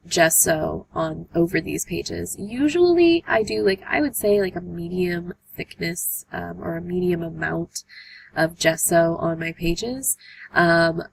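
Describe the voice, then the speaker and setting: A young woman with a hoarse voice from a cold, hosting a casual art tutorial voice-over with lots of "um" fillers. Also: lowish voice, poor audio. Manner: chatty, many "um" fillers. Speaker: art journaling tutorial host.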